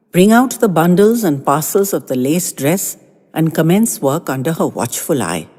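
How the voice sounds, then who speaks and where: A woman narrating a first-person story in a gentle, expressive reading with lingering phrases. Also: low-pitched, husky, big-room sound.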